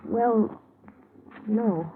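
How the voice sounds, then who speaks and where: A woman's voice: on the high side, drawn-out words, slightly rough, exaggerated voice. A woman playing an earnest, indignant young murder suspect in a vintage radio drama. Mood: pleading. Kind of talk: 1950s radio drama acting. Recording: noisy, tinny old radio recording.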